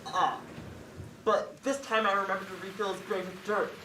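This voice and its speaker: A young woman acting a deadpan, evasive, dim-witted husband in a high school stage comedy, recorded on an echoey stage. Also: moderate pace, close to an everyday voice. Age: teen. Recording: echoey noisy stage, distant mic.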